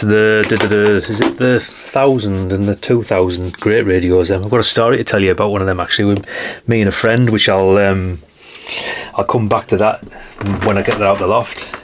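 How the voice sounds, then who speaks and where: A man's voice: lowish voice, fairly even intonation, normal speed, noisy background. A middle-aged man chatting casually and unscripted as he shows things, mildly sweary and full of tag questions. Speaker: host of a retro radio collecting channel. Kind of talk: casual unscripted show-and-tell chat.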